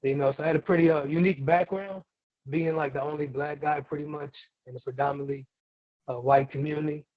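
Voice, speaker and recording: Male guest, forties, slightly high voice, laid-back slangy storytelling, tinny phone-like audio. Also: fairly even intonation, fast, no echo. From Germany and Missouri, USA. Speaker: guest, hip hop artist and producer. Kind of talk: casual interview chat.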